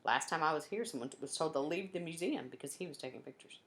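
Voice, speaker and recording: A woman voicing a confident, precise schoolgirl with a sharp memory for a children's storybook. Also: sits low, quick pace.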